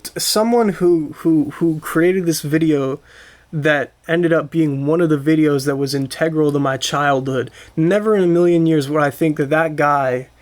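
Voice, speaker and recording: Male podcast voice, pitched a little high, informal, with hesitant repeated words. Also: normal speed. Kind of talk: casual podcast chat.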